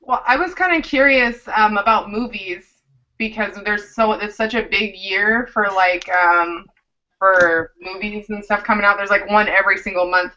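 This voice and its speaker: A woman's voice: mid-range voice, normal speed, bright, forceful tone. A woman on a panel, chatting casually and hesitantly, with lots of fillers. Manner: hesitant, many fillers, bubbly. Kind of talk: casual chat. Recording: lo-fi web call with faint noise.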